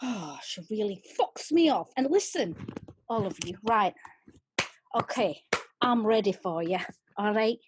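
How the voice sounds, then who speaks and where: A woman's voice: mid-range voice, lively intonation, measured pace, pauses often, background noise, lightly acted. A woman playing a coarse, furious female alter who swears and rants in a solo stage monologue. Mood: furious ranting. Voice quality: swearing, brash. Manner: ranting, mocking.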